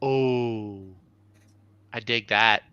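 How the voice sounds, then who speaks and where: A man's voice: medium pitch, fairly even intonation, extremely slow, pushed and bright, clear, resonant tone, dry recording, highs cut off. A man co-hosting a casual comic book chat, speaking in a slangy, enthusiastic way.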